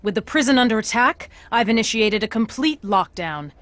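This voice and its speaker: A woman's voice: fairly high pitch, lively intonation, moderate pace, fairly bright tone, dry recording. A woman playing a stern middle-aged government commander in a video game, speaking with authority and dry sarcasm. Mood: brisk, official. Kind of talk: scripted video game acting.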